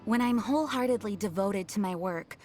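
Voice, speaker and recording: A woman voicing an earnest, dutiful young knight commander in a video game, speaking in a formal, measured way. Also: mid-range voice, a little mellow, soft background music, close to an everyday voice. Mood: earnest resolve. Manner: formal, measured, solemn diction. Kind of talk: video game character voice lines.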